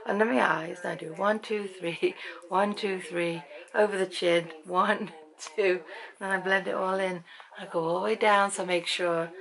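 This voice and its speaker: A woman in her fifties from Britain with an English accent, chatting to a handheld camera in a dim room at night. Her voice is croaky, and she rambles and laughs often. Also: lowish voice, steady tone, moderate pace, a bit bright, rough recording. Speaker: vlogger. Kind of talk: casual chat to camera. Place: bedroom at night, dim lamp light. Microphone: handheld camera at arm's length.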